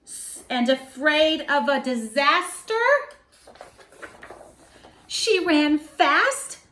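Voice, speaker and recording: A woman with a high, very lively voice reads a picture book aloud. She takes her time, leaning into dramatic pauses and expressive phrasing for young listeners. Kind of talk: children's story read aloud. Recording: roomy echo.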